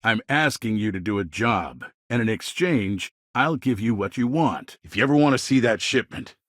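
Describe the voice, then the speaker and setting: A middle-aged colonial governor from a video game, smooth-talking and self-important. His voice is fairly low and husky, with a dry, close sound. He phrases everything like a practised politician who is always scheming. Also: expressive, normal speed, fairly bright tone, no room sound. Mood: firm, veiled threat. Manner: polished politician's talk, veiled threats. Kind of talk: game dialogue voice lines.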